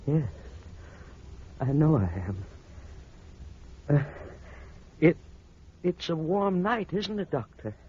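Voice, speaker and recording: An actor playing an aging blind husband, devoted yet superstitious and suspicious, in a theatrical, emphatic vintage radio drama style. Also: male voice, a little high, big pitch swings, slow, pauses often. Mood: restless, polite. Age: older. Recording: muffled, noisy old radio recording.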